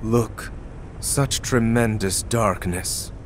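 An actor playing a cold, menacing villain in a video game, with a taunting, ominous manner. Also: male voice, fairly low pitch, drawn-out words, slight background noise. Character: cold, menacing young villain obsessed with darkness.

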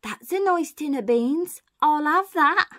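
A chatty lady burglar from a children's storybook, put on by a woman reader. Her voice sits high and jumps around with great animation. She speaks emphatically, in rough working-class grammar. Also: animated, unhurried, fairly bright tone. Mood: pleased.

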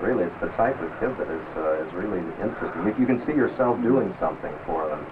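A man's voice: low voice, lively intonation, fast, background noise. A middle-aged man, a teacher who sponsors the club, talking earnestly and fondly about his students. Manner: earnest, fond. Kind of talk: reflective interview. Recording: tinny old film soundtrack.

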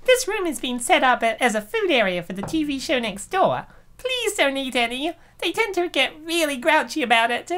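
Perky high bossy shoot manager gal done by a woman, bouncy sing-song delivery, comic dub. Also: big pitch swings, normal speed, a bit bright. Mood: briefing.

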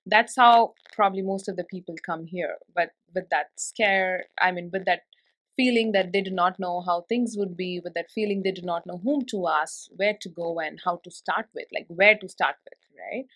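A woman's voice: fairly low pitch, moderate pace, fairly bright tone. A woman hosting a podcast, chatty and laughing easily, asking long, winding questions. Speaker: podcast host. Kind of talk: casual interview chat.

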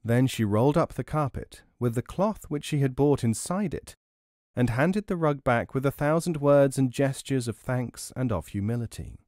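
A man narrating a scripted story as an audiobook reader, expressive, measured and articulate. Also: mid-range voice, no echo, studio quality.

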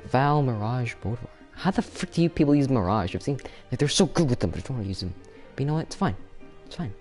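A young man chatting casually while he streams a fighting game, excitable, with sudden yelps and laughs. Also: mid-range voice, fast, soft background music. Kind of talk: casual gameplay commentary. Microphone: no camera, desk mic.

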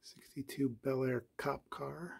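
Slow, gravelly man narrating a tour. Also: little pitch movement, a little mellow. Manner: slow, low-key, listing items with pauses and fillers. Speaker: collector giving a tour. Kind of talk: casual walkthrough narration.

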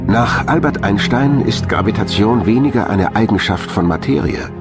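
A man's voice: on the low side, lively intonation, normal speed. A man narrating a science documentary from a script, as the narrator of the programme. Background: background music.

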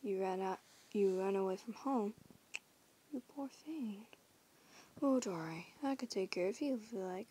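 A woman's voice: medium pitch, unhurried, long pauses, warm tone, a little hiss or hum, natural voice. A woman voicing a teasing young female werewolf in an audio roleplay, whispering huskily and swearing casually. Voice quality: whispery, husky.

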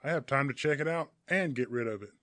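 A smug, quick-witted young superhero as a man plays him for an audiobook. His pitch is middling and the sound is dry. He speaks with cocky assurance. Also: fairly bright tone, dry recording, lightly acted.

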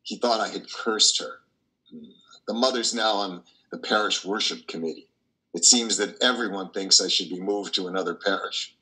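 Man acting anguished older priest, lowish pitch, very bright tense voice, halting fervent stage monologue. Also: poor audio. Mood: agitated, aggrieved. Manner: halting, fervent, with repeated words. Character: troubled, tender older priest confessing his doubts. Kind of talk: dramatic monologue in a play reading. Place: wood-panelled room at home, indoors. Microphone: video call, computer microphone.

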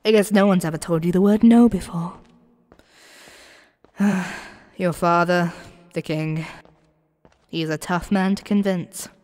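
A woman's voice: mid-range voice, no echo, lightly acted. Woman acting a cocky thief, close dry sound. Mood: defiant, weary. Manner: expressive, sighing, sardonic. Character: cocky young female commoner thief, defiant, resentful. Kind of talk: audio roleplay acting, one-sided dialogue addressed to the listener.

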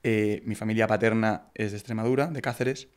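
A young man from Madrid with a Spain Spanish accent, chatting as a podcast guest. He talks into a close studio mic in a relaxed way, with many fillers and restarts. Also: on the low side, brisk, clear, resonant tone. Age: young adult. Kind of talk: casual interview chat. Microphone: broadcast mic on arm, close.

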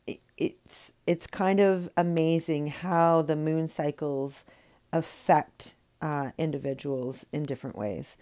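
A low-voiced woman in middle age narrates her painting tutorial as a voiceover. Her tone is mellow and dark, and a cold she is getting over still shows in her voice. She talks in a loose, chatty way, full of ums and uhs. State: recovering from a cold. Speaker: rock painting tutorial host. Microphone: voiceover mic.

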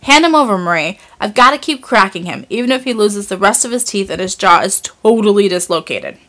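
A woman's voice: medium pitch, very animated, a little fast, a bit bright. A woman voicing a bratty, quarrelsome young boy in a storybook reading. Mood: indignant.

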